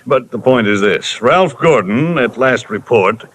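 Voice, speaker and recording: A fretful, formal insurance executive in a vintage radio mystery. He hems and haws before every point, his pitch swooping widely, and his tone comes across bright and pointed. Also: lively intonation, a little slow, slight background noise. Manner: hesitant, fussy, with frequent "uh". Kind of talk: 1950s radio drama acting.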